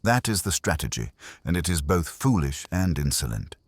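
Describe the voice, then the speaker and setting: A man voicing an imperious, calm detective genius in an audiobook, pompous, formal and husky. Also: deep voice, moderate pace. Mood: stern, imperious.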